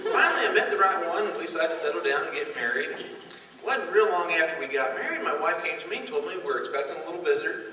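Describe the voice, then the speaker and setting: Male comic for homespun monologue, lively swooping delivery, drawn-out rustic storytelling, heard from afar in a reverberant hall. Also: a little high. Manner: drawn-out setups, countrified storytelling in character. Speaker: amateur comedian. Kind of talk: folksy old-time stand-up monologue. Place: large hall in front of a live audience. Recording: echoey hall from far off, noisy.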